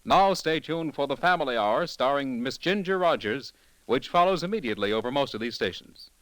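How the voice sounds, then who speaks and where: A man's voice: medium pitch, lively intonation, fairly bright tone, dry recording, low-quality recording. Dry-sounding, formal vintage radio announcer man. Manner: formal, polished, measured delivery. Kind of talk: 1940s scripted radio announcing. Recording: dry vintage radio broadcast, faint music.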